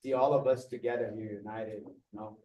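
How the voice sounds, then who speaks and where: Guy slowly fumbling his words, dark voice, echoey gym far away. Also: on the low side, steady tone. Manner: halting, searching for words. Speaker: old training partner.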